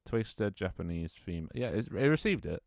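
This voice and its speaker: A man's voice: low voice, big pitch swings, moderate pace, creaky, no echo, clean studio sound. A young man streaming a game, chatting casually with viewers and talking to himself as he sets things up. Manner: rambling, self-talk with frequent restarts and laughs. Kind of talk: casual live-stream chat while gaming.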